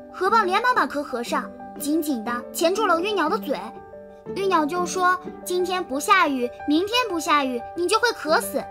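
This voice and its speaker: A woman voicing a proud, clever schoolgirl who confidently explains things in a children's audio drama. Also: very high voice. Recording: children's music underneath.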